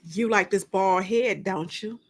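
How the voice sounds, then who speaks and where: A woman's voice: mid-range voice, measured pace. A woman voicing a confident, bold, flirtatious man in an audiobook story, with a teasing manner. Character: confident, flirtatious bald man, a smooth coworker.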